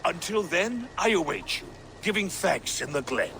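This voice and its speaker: High-pitched, theatrical eccentric druid. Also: male voice, sing-song, moderate pace, background noise. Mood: reverent, awed. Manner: ceremonious, theatrical, archaic phrasing. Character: eccentric male druid, reverent, theatrical, devoted to spirits. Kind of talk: video game character acting.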